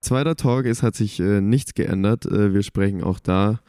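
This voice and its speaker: A man's voice: fairly low pitch, moderate pace, mellow, clean tone, dry recording, clean studio sound, soft background music. A young man co-hosting a casual podcast, asking questions with frequent hesitations and filler sounds. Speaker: podcast co-host.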